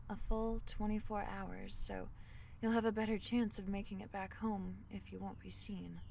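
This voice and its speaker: A woman voicing a tiny, caring fairy in a soothing ASMR fantasy roleplay, speaking softly in slightly formal storybook phrases. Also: mid-range voice, vocal fry, far from the mic, a little hiss or hum. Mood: earnest. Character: tiny gentle female fairy, caring and protective.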